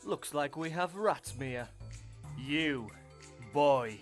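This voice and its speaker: An actor playing a cold, condescending military officer in a fan radio drama. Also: male voice, a little high, lively intonation, extremely slow, soft background music.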